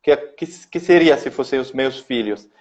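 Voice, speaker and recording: A man in his thirties from France speaking Portuguese with a French accent, slow and halting as he searches for words. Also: mid-range voice, dull audio. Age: 30s. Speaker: interview guest, company executive.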